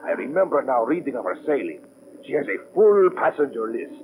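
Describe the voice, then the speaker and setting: An actor playing an obedient, formal submarine first mate in an old radio drama, reporting in a crisp, deferential way. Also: male voice, mid-range voice, sing-song, brisk, close to an everyday voice. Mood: dutiful. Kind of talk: 1940s radio drama acting. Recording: noisy old radio recording.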